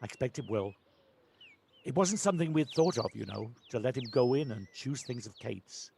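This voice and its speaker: Man acting a creaky-voiced, gentle villager. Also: mid-range voice, expressive. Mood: tender. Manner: unhurried, reassuring, plain-spoken. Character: gentle, wise, affectionate village handyman. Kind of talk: audio play acting. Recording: amateur home recording with background noise.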